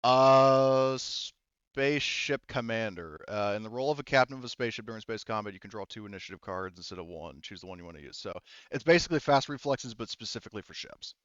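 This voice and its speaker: A man's voice: on the low side, lively intonation, brisk, a bit bright, smooth, dry recording, dull audio. A man working as game master, narrating a tabletop game casually and informally, often thinking aloud.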